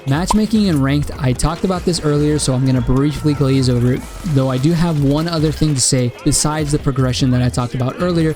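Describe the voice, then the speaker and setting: Man with a hoarse, fading voice. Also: on the high side, brisk, hardly any pauses, over music. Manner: casual, opinionated, talks straight to viewers. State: losing his voice, dry mouth. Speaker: gaming content creator. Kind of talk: spoken game review and feedback.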